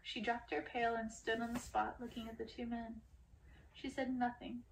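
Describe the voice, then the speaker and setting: A woman narrates a storybook in an ASMR style. Her voice is on the high side with plenty of creak, picked up at some distance with faint background noise. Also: level tone, moderate pace. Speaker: narrator reading a book aloud.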